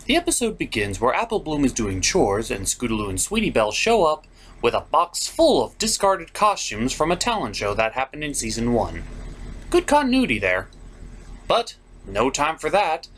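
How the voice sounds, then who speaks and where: A man's voice: mid-range voice, huge pitch swings, normal speed, fairly bright tone, slight background noise. A man hosting a jokey, scripted cartoon recap in a theatrical, sarcastic way. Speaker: host of a cartoon episode review.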